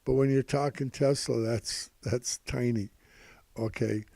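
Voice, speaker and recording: Slow-talking older man, mellow and halting. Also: medium pitch, fairly even intonation, creaky, no echo. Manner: halting, repetitive, folksy, refers to himself as Grandpa. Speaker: host of stock prediction videos. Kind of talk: informal rambling financial commentary.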